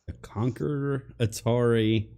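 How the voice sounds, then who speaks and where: A man hosts a casual online interview, speaking in an ordinary mid-range voice. He keeps things loose and relaxed as he asks his guest questions and reads out viewers' questions. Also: mid-range voice, measured pace, gravelly, smooth. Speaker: interview host.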